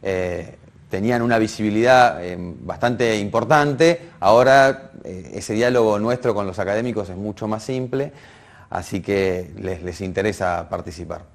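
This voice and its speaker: A man with an Argentine accent speaks in a fairly low voice. He answers as in an informal interview, feeling for his words and rephrasing as he goes. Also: normal speed.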